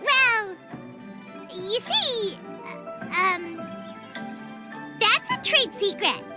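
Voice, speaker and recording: A woman voicing a small, excitable, childlike fairy companion in a video game, with a squeaky, cutesy delivery. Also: very slow, exaggerated voice. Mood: coy. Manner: third-person self-reference, cutesy. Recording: loud music behind.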